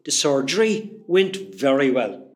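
An actor with a West Cork Irish accent playing a cheeky, teasing young hospital worker in a comedy radio play. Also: male voice, mid-range voice, very animated, unhurried. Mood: teasing, reassuring. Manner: teasing, jokey.

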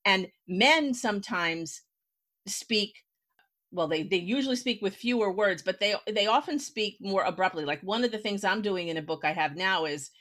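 An American woman speaks as a podcast host in relaxed back-and-forth conversation. Her voice sits at a medium pitch. She explains things with emphasis, working through examples as she goes. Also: normal speed. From United States. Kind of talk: conversational interview about writing.

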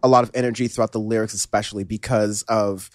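A man's voice: lowish voice, fast talker, dry recording. Casual male podcast host, dry close mic. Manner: relaxed, rambling, lots of fillers and restarts. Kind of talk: casual music review chat.

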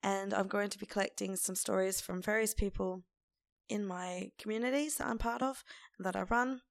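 A woman hosting a casual solo podcast in an informal, conversational way, with a croaky, hoarse voice. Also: medium pitch, fairly even intonation, moderate pace, dry recording, clean studio sound. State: lingering hoarseness after laryngitis. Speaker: podcast host.

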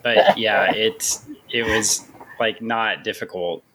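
A man co-hosting a comic-book podcast in casual chat, his voice weak and hoarse after an illness, with a dry, teasing manner. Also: on the low side, fairly even intonation, slow, fairly bright tone, a little hiss or hum, poor audio. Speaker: podcast co-host.

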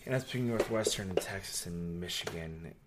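A young man from New York, a podcast guest, chatting casually in a rambling, animated way with many fillers. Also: low-pitched, fairly quick, very noisy. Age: young adult. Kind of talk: casual chat.

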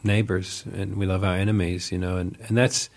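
A man's voice: low voice, little pitch movement, brisk. A man in his forties from New Zealand with an accent mixing New Zealand, Northern Irish and American traces, telling stories as an interview guest in a chatty, self-deprecating way with frequent fillers. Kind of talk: casual conversational storytelling.

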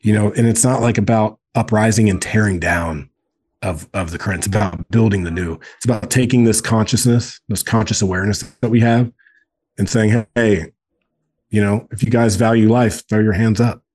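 Earnest husky man in his forties. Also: lowish voice, moderate pace, a little mellow. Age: 40s. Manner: earnest, repeats phrases for emphasis. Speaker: guest, men's group co-founder. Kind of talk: casual podcast conversation.

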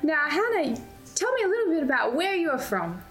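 A woman's voice: sits high, sing-song, normal speed, a bit bright, faint music behind. A woman hosting an interview program at a youth conference. She asks questions and keeps her guest going with frequent short encouragements. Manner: friendly, encouraging, frequent affirmations. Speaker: show host, interviewer.

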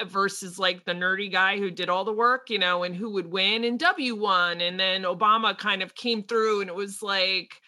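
A woman co-hosting a podcast, chatting casually about politics in a lively way, with quick asides and frequent laughs. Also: mid-range voice. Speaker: podcast co-host.

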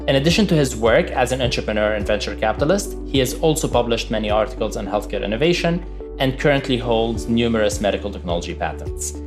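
A male podcast host reading a guest introduction, then welcoming the guest with a few filler words. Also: fairly low pitch. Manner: reads a formal bio, then speaks with filler words. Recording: music bed under voice.

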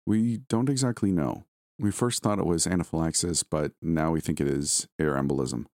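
A man's voice: very deep voice, moderate pace, a little mellow, dry recording, studio quality. A man voicing a grave hospital doctor who explains things in an audio story.

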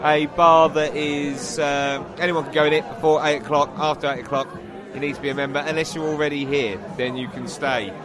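A British man with a slightly high voice co-hosts a podcast from a bar. He reviews casually, with a crowd clearly chattering around him. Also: little pitch movement, a little fast. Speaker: podcast co-host. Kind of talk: casual spoken review in bars. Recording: busy bar chatter, on location.

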